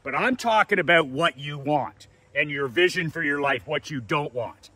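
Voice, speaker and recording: A man's voice: on the high side, expressive, moderate pace, bright, forceful tone, no room sound. A man in his fifties giving a blunt, profane motivational talk as a business coach, hammering his points with repeated lists. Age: 50s. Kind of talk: motivational monologue.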